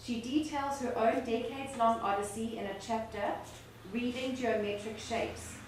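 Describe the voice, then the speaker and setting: A South African woman reads a review aloud in a measured, unhurried way. She is picked up from a distance in a very echoey room with faint ambient noise. Her pitch sits in an ordinary middle range. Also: steady tone. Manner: measured, unhurried reading with small restarts. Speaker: academic reading reviews at a book launch. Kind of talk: reading aloud to an audience. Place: book launch venue, echoey room.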